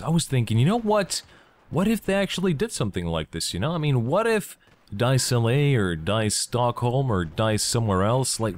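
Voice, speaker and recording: A young man from Belgium, talking enthusiastically and jokingly in a casual gaming vlog. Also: mid-range voice. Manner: enthusiastic, joking, asks himself questions. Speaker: gaming YouTuber.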